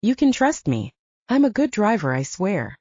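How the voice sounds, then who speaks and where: A woman's voice: mid-range voice, sing-song, normal speed, darkish tone, dry recording, muffled recording. A woman voicing a young blonde werewolf woman, protective and reassuring, with a soothing but firm manner, in an audiobook. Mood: insistent.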